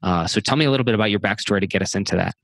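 A man's voice: fairly low pitch, racing, creaky, fairly bright tone, dry recording, clean studio sound. A man hosting a business podcast, giving a motivational intro before interviewing a guest. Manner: motivational, emphatic, self-promoting. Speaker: podcast host.

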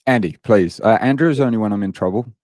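A very low-voiced, mellow British man in middle age, rambling along in relaxed podcast talk. He fills his sentences with ums and uhs and pokes fun at himself. The recording is close and dry, with no room sound. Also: fast, no room sound. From Britain. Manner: self-deprecating, rambling with many fillers. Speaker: guest, online fitness coach. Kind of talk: casual podcast interview.

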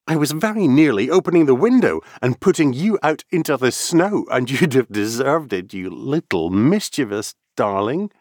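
A man's voice: medium pitch, sing-song, normal speed, few pauses, darkish tone, no echo, studio quality, voice pushed up. A man voicing a bossy, chatty little girl in a storybook, scolding in a mock-stern way.

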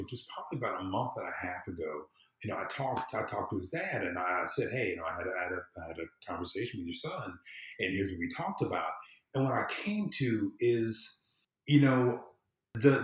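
A middle-aged American man with a low-pitched voice talks as a guest in a casual interview. He explains things like a storyteller, voicing little quotes along the way. The room around him adds a clear echo. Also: fairly bright tone. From southern United States. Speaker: podcast guest, civic empowerment consultant. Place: quiet room in a friend's home.

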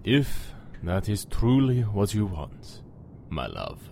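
An actor playing a formal, menacing yet lovesick vampire master in a radio drama, speaking in measured, old-fashioned phrases. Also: male voice, fairly low pitch, wildly swooping pitch, gravelly, dark tone, a little hiss or hum, exaggerated voice. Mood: courtly, tender.

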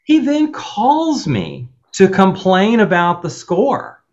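A man on a discussion panel, telling animated stories with wry asides. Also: high-pitched, slow pace. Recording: muffled lo-fi audio.

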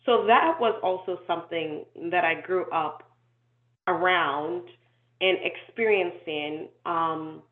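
A woman who grew up in Nigeria, talking through her life story in an interview, thoughtful and measured, with frequent ums and restarts. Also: lowish voice. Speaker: inclusion and diversity consultant, guest. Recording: tinny echoey phone-like call audio.

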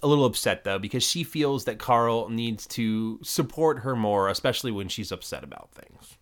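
A man's voice: mid-range voice, lively intonation, moderate pace. A man co-hosting a podcast, retelling sitcom scenes in a rambling, casual way with lots of fillers. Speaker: podcast co-host recapping a sitcom episode. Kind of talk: casual chat.

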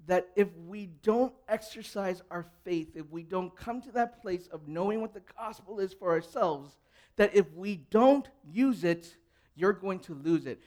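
A young man whose first language was Cantonese, preaching in a fairly high voice. He keeps it conversational and asks the room questions, then drops into quiet asides or climbs to loud emphasis. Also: lively intonation, unhurried. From grew up in an English-speaking country. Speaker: pastor. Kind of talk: sermon.

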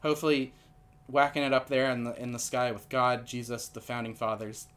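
A man with a nasal voice hosts a casual comedy podcast, spinning absurd tall tales in a deadpan, rambling way. His pitch sits in the middle range, and he speaks into a podcast microphone. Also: a little fast. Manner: rambling, deadpan absurd storytelling with many fillers. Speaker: podcast host.

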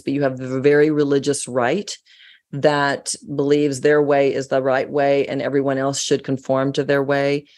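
A woman's voice: a bass voice, a little mellow. Earnest, storytelling American woman. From United States. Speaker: guest. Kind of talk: casual interview talk.

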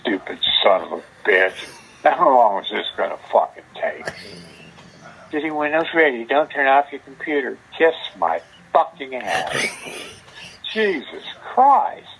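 A man's voice: mid-range voice, very animated, measured pace, a bit bright. An older man muttering in exasperation at a computer, frustrated, irritable and swearing as he grumbles. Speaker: host's father. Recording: noisy home recording, background sounds.

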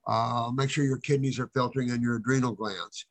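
A man's voice: medium pitch, steady tone, a little fast. A man hosting a health call-in show, giving rambling, folksy advice to callers. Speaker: host answering callers.

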